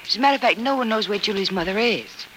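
A woman's voice: mid-range voice, expressive, quick pace, fairly bright tone, lightly acted. An actress playing a level-headed, caring young woman with a polite, earnest manner in a radio soap opera in the style of the 1940s. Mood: polite, explaining. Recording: old noisy radio recording.

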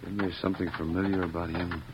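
Deep, wary western outlaw. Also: male voice, level tone, fast talker. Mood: watchful. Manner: rustic, slangy drawl. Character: wary, scheming jailed outlaw, rough westerner. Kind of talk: old-time radio western acting. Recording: muffled, very noisy vintage radio.

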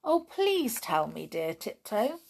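A woman's voice: medium pitch, big pitch swings, slow, vocal fry, darkish tone, husky, full character voice. A woman voicing a kindly, anxious male sailor doll in a children's story, earnest and coaxing in manner. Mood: concerned.

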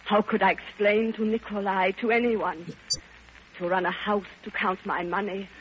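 An actress playing a passionate, lonely elderly widow, theatrical and yearning, in an old radio drama. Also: female voice, medium pitch, fairly even intonation, moderate pace, a little hiss or hum, lightly acted. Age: older. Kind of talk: 1950s radio drama acting. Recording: dull old radio recording, faint music behind.

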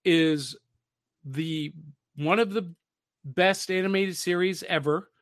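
A man co-hosting a podcast in casual, nostalgic pop-culture chat, often pausing to search for his words. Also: high voice. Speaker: podcast co-host.

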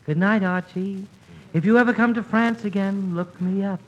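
An actor playing a genteel, serenely deluded professor in 1940s radio comedy, polite and earnest. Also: male voice, high-pitched, fairly even intonation, normal speed. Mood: dreamy, courteous. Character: genteel, dotty male professor who thinks himself Napoleon. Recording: muffled vintage radio recording.

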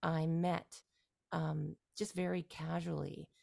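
A woman from Canada in her forties, a musician guest talking through her career in a relaxed, rambling way with fillers and restarts. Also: deep voice, takes it slowly, vocal fry. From Canada, near Toronto. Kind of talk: casual interview conversation.

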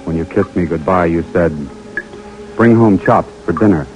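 Imprisoned American lieutenant, played by a man: low-pitched, intimate, reflective, tired, classic radio play style. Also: normal speed, a little mellow, natural voice, poor audio. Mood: weary, reflective. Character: earnest, weary imprisoned American army lieutenant. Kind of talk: 1940s radio drama acting, letter narration. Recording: vintage radio broadcast with music.

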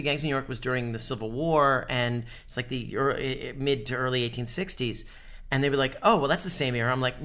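A man, a series co-creator, explaining in casual interview talk, wry and quick with mock-quoted exchanges. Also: medium pitch, a bit bright.

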